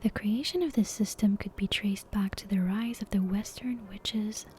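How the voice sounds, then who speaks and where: A woman's voice: medium pitch, fairly even intonation, a little hiss or hum. Whispering woman reading an ASMR bedtime story. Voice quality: breathy whisper. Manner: soft, unhurried, hushed. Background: soft game soundtrack ambience.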